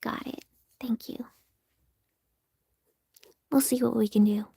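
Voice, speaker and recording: A woman chatting candidly with her viewers while she draws on a live stream, often half-whispering in a soft, very feminine voice, picked up by her phone. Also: fairly high pitch, fairly even intonation, takes it slowly, gravelly, breathy edge, no room sound, clean studio sound. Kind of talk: casual livestream chat answering viewers.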